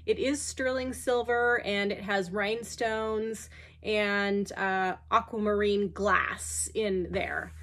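A woman's voice: mid-range voice, a bit bright, slight background noise. A woman in her thirties, a bit congested and sniffly, chatting casually as she packs her online sales, with fillers and self-deprecating asides. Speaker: online reseller. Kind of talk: chatty packing vlog. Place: large cluttered indoor workspace.

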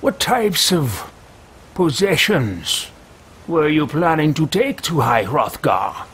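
A man's voice: a little high, very animated, takes it slowly, a bit bright, slight background noise. A man in his thirties roleplaying a haughty, pompous character over gameplay, talking into a headset at home. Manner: pompous, theatrical, sly asides. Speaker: let's play host voicing his character. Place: home room with bookshelves.

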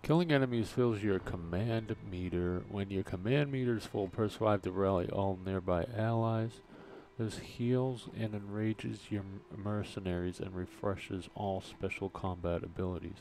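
Male gaming video host, low register, let's play commentary over clear background noise. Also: moderate pace, few pauses, a little mellow. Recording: noisy, game sounds behind voice.

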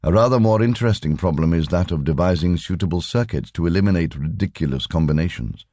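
An imperturbable lama , acted out by a male audiobook reader, speaks with formal patience. His voice is low and measured, recorded dry and close. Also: warm tone, no room sound. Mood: patient, formal.

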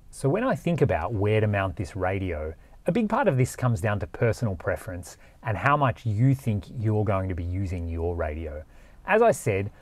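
A man's voice: fairly low pitch, darkish tone. A man in his forties from Australia explaining things in a casual vlog, frank and plain-spoken. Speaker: vlogger, overland traveller. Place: indoors in a workshop garage, and seated in a vehicle.

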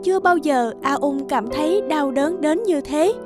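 A woman narrates a fairy tale from a script. Her voice is high, and music plays clearly behind her. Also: normal speed.

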